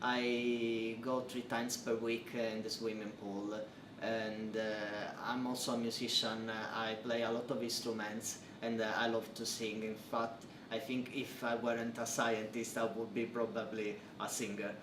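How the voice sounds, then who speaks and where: A man's voice: fairly low pitch, flat delivery, measured pace. A young Italian man with an Italian accent, speaking English as a second language and answering interview questions with hesitant fillers. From Italy. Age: young adult. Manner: hesitant, many fillers and restarts, non-native phrasing. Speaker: interviewee, PhD researcher. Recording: roomy, distant mic, faint noise.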